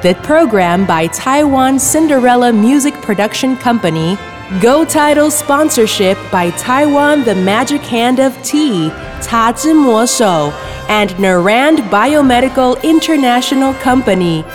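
A woman delivers a scripted sponsor announcement. Her voice is a little high. Light music plays behind her. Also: expressive, a little slow.

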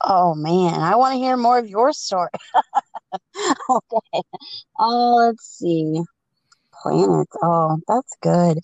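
Slow-paced woman hosting a casual podcast. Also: lowish voice, animated, creaky, darkish tone, no echo, lo-fi sound. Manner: halting, pausing between phrases when improvising. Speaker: podcast host. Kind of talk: casual chat and improvised storytelling.

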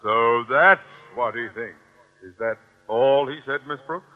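An American actor playing a pompous, high-strung, middle-aged school principal who blusters indignantly in an old-time radio sitcom. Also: male voice, measured pace, natural voice. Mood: suspicious, indignant. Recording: tinny, noisy vintage radio recording.

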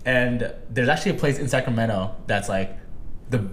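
A man's voice: mid-range voice, normal speed, reverberant, slight background noise. A man in his late twenties, from the United States, co-hosting a casual podcast chat. He talks in a laid-back way, swears casually and leans on fillers. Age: late 20s. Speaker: podcast co-host.

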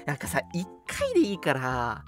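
A young man co-hosting a radio show, chatting casually in an animated way and readily agreeing with his partner. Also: a little high, normal speed, soft background music. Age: young adult. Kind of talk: casual chat.

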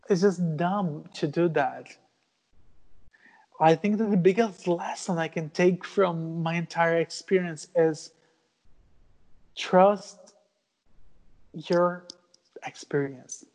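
A man's voice: a little high, darkish tone, rough recording. A young Brazilian man, a Portuguese speaker, talking earnestly in a casual interview, with many fillers and restarts. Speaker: guest artist.

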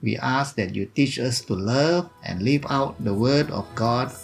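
A man reading a scripted devotional in a solemn, deliberate manner, phrase by phrase. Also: mid-range voice, vocal fry, faint music behind. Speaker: devotional reader.

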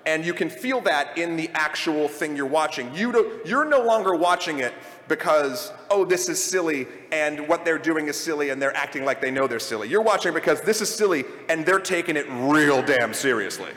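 A man hosts a live panel discussion in a big reverberant space. His voice sits a little high, and he talks in a rambling, opinionated way, working out his points as he goes. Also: animated, normal speed, fairly bright tone. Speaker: panel host leading a discussion of video game movies. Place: large room at a convention. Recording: echoey hall, live panel recording.

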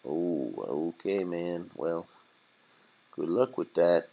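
A man's voice: sits low, expressive, slow pace, gravelly, warm tone, lo-fi sound, full character voice. A man voicing a jaded, laid-back street rat in a story, blunt but friendly and full of casual slang. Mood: shrugging, friendly.

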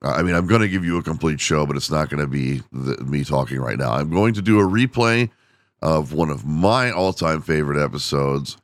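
Very deep-voiced man, losing his voice. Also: lively intonation, brisk, dry recording, studio quality. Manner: rambling, self-deprecating, laughs often. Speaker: podcast host. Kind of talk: casual solo podcast chat.

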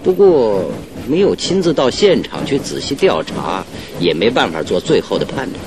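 An actor playing a confident male detective in a radio drama, reasoning out a case with deliberate, theatrical authority. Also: very animated, close to an everyday voice. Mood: assured. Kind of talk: Mandarin radio drama acting. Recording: noisy old radio drama, train rumble behind.

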